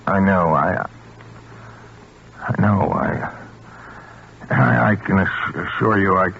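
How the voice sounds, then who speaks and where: An actor playing an obsessed, scheming scientist in a 1940s radio suspense drama, speaking in an intense, halting way. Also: male voice, sits low, vocal fry, exaggerated voice. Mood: hesitant, furtive. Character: obsessed male scientist doctor, evasive, scheming husband. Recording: muffled, noisy 1940s radio recording.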